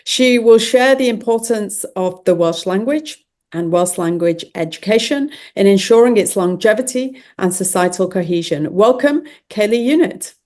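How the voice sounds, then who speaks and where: A woman's voice: medium pitch, expressive, moderate pace, darkish tone. A woman hosting a conference, formally introducing the next speaker. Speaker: conference host.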